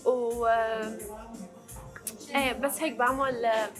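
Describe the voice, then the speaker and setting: A woman's voice: a little high, steady tone, a little slow, over loud music. A woman in her early twenties with a Levantine Arabic accent, chatting casually to camera as a vlog host and slipping in English words. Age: early 20s.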